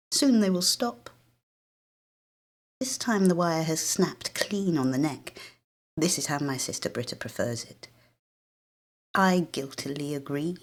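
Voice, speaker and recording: A British woman narrating a literary short story as a dramatic reading. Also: on the low side.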